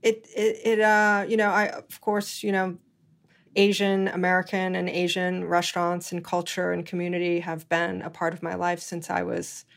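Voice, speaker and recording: A woman's voice: on the low side, completely flat delivery, moderate pace, gravelly. A middle-aged woman from Hawaii hosting a food-industry podcast, speaking with many fillers and restarts. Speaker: podcast host. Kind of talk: conversational interview and read ad.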